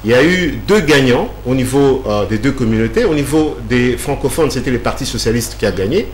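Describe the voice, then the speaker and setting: A man lays out a long explanation, stopping often on fillers and repeated words. His voice sits at a middle pitch. The room is echoey, and a faint hum runs underneath. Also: animated, fairly quick. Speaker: guest. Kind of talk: explanatory interview answers.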